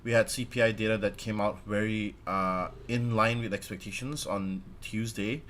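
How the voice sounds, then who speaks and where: A man with a fairly low voice, a little raspy as he gets over a sore throat, hosts a live stock market stream. He talks casually and loosely, leaning on "uh" and "you know". Faint noise sits under his voice. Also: moderate pace. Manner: relaxed, chatty, with many "uh" fillers. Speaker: stock market livestream host. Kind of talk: casual livestream market commentary.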